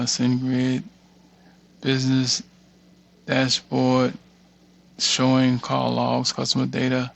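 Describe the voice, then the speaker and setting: A man's voice: medium pitch, vocal fry, muffled recording. A man giving an informal screen-share walkthrough, thinking aloud and muttering as he reads text off the screen. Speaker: tutorial creator.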